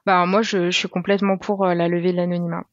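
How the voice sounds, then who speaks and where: A woman in her twenties, a podcast guest, explaining things calmly and step by step with frequent hesitations. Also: lowish voice, quick pace, no echo, highs cut off. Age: 20s. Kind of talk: casual explanatory chat.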